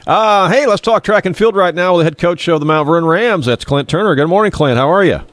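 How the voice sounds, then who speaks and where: A man hosts live sports talk from a radio studio in a relaxed, chatty style. His voice is scratchy and nasal from congestion, and his pitch moves around freely and expressively. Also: quick pace, dry recording. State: congested from a lingering cold.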